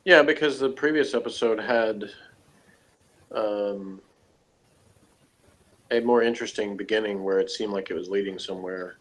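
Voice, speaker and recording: A man's voice: on the low side, moderate pace, long pauses, rough recording. A man speaking as a co-reviewer in a casual, conversational discussion.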